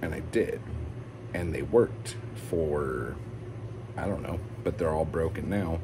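A man in his forties talks into a phone camera held low in a room at home, sounding tired and down. His voice is extremely deep and gravelly, and he speaks slowly. The recording carries a steady hiss of background noise. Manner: rambling, frustrated, swears freely. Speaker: poet vlogger. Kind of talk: casual confessional vlog. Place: indoor room with ceiling fan. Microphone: phone camera held low, close.

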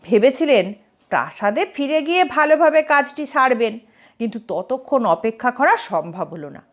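Female narrator for comic tales, expressive, very lively intonation, higher register. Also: normal speed. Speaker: storyteller, narrator. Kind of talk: scripted comic story narration.

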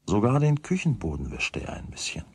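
A man narrating a children's radio play as a wry, deliberate storyteller with a husky voice. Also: on the low side, wildly swooping pitch, darkish tone, dry recording, full character voice. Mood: wry, measured. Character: wry storyteller of a boy's everyday troubles.

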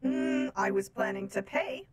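A woman's voice: on the high side, lively intonation, a little slow, vocal fry, heavily acted. A woman voicing an oddly formal, naive female space robot from Venus in a game roleplay, speaking in stilted, literal phrases. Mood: innocent, formal. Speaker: streamer playing a roleplay game.

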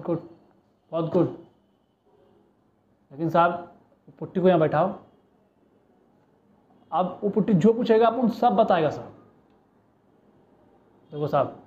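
A man's voice: high voice, natural voice. A man acting a cheeky small-time crook with a Mumbai street accent, bargaining and pleading in a police interrogation monologue. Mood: cocky, bargaining. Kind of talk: audition monologue, film-style street-slang acting. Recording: muffled, narrow phone-like sound.

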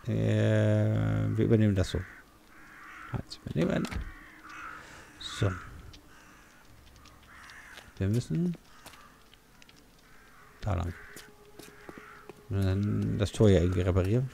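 A German man with a low, gravelly voice talks through a video game at his desk mic, mumbling half-finished thoughts as he plays. A faint hiss of noise sits under his voice. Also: warm tone. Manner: mutters half-sentences to himself, exclaims during fights. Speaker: let's play gamer. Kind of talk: casual gameplay commentary.

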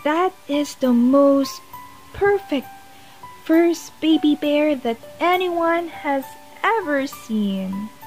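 A woman's voice: high voice, lively intonation, drawn-out words, darkish tone, faint music behind, pitched above the performer's own voice, full character voice. A woman voicing a kindly, affectionate father bear who reassures his cubs in a bedtime storybook. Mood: reassuring.

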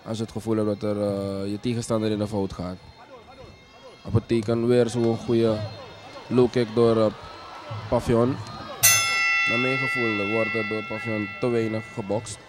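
A man commentating on a kickboxing match in a hesitant, measured way, as a sports commentator does during a live bout. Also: on the low side, level tone. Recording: music behind the commentary. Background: music.

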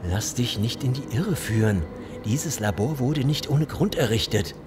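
An actor playing a menacing, condescending gang leader with mock-courteous threats in a German audio drama. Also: male voice, mid-range voice, unhurried, music in the background, lightly acted. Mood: suspicious.

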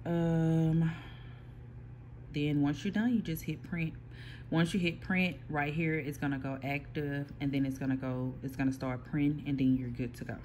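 Relaxed voice walking through software steps. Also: mid-range voice, moderate pace, slight background noise. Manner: relaxed, explains step by step with frequent "um" and restarts. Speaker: small print shop owner giving a software tutorial. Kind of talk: casual screen-recorded tutorial. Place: indoor workspace at a computer. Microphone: phone filming a computer screen.

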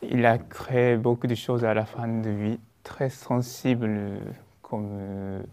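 Soft-spoken young man, hesitant. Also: mid-range voice, level tone, measured pace. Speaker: pianist in an interview. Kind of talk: interview.

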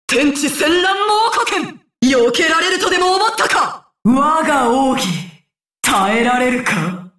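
Fierce high-pitched imperious ninja warrior. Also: female voice, expressive, slow, a bit bright, dry recording, studio quality, heavily acted. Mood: menacing, haughty. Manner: archaic, haughty, commanding Japanese diction. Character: proud battle-hungry female ninja warrior, imperious and fierce. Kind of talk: anime video game battle lines.

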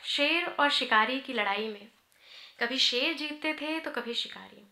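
Bright-voiced woman reciting poetry, roomy sound. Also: high-pitched, unhurried. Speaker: poet. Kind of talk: live poetry recitation, narrative free verse. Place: indoor auditorium stage at a podium. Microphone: gooseneck podium microphone, close. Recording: roomy auditorium sound through PA microphone.

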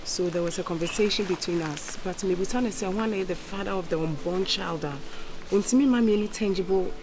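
A woman with a Ghanaian accent playing a wronged, distressed wife in a drama, speaking in a plaintive, halting way. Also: lowish voice, background noise, natural voice. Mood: upset. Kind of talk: dramatic acting. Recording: music under the voice.

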